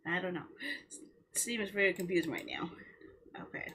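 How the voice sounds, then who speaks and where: A woman chatting casually on a livestream in a rambling way, with a husky voice. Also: lowish voice, big pitch swings, moderate pace, noisy background. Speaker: live streamer.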